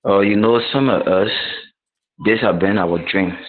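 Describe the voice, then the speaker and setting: A Liberian man with a lowish voice speaks very slowly and formally. He breaks his remarks into short phrases, and the call audio is dull and muffled. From northern Liberia. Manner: deliberate, broken into short phrases. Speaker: training participant. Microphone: video call microphone.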